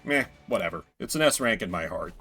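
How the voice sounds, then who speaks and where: A man's voice: sits low, animated, quick pace, sharp tone, slightly rough, soft background music. A man giving casual, wry and playful gameplay commentary as a streamer, swearing now and then. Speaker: video game streamer.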